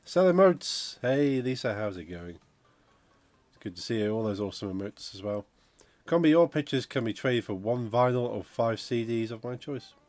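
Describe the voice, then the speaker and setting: A man, croaky from a cold, chatting casually on a game dev stream and reading out chat. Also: medium pitch, expressive, moderate pace, darkish tone, dry recording. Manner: rambling, chatty, reads out chat messages. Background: funky music playing.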